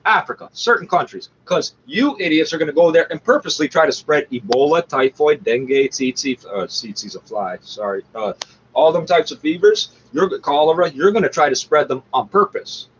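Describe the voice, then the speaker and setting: Combative, scornful man with a highish voice. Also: lively intonation, moderate pace, fairly bright tone. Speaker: commentator reacting to a radio show. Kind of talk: off-the-cuff rant over a played broadcast. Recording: muffled, faintly noisy lo-fi recording.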